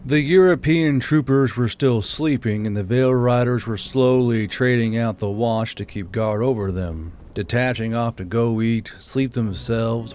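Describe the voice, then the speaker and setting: A man narrates a scripted story as an audiobook reader. His voice sits at a medium pitch. There is faint noise and ambience behind him. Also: a little mellow.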